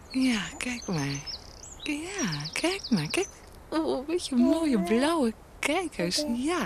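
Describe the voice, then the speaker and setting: An actress playing a tender, doting mother in a radio drama, cooing gently and wistfully to her baby in short repeated phrases. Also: mid-range voice, full character voice. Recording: outdoor scene with birdsong and noise. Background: birdsong.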